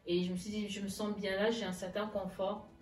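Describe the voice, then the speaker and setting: A woman's voice: mid-range voice, level tone, fairly quick, a little mellow. Female interview guest, casual personal storytelling with quoted exchanges, recorded in an echoey space with music underneath. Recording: echoey room, distant mic, music underneath.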